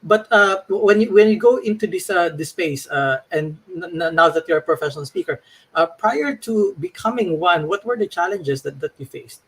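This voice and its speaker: A male talk-show host with a husky, slightly breathy voice. He asks his questions haltingly, repeating words and filling pauses with "uh" as he works his way toward the point. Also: high-pitched, fairly bright tone, low-quality recording. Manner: hesitant, full of fillers and repeats. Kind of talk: interview.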